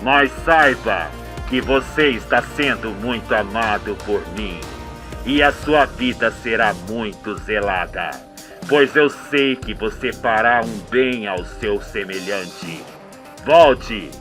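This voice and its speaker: A man's voice: on the low side, slow pace, fairly bright tone, soft background music, voice pushed down. A man voicing a solemn, loving divine voice that speaks in commands in a radio soap.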